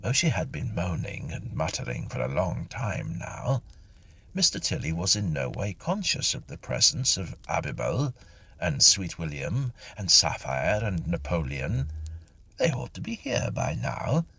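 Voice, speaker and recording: A man reading a literary story aloud in an expressive, theatrical narrating style. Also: very deep voice, a little slow, creaky, slightly rough. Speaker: story reader.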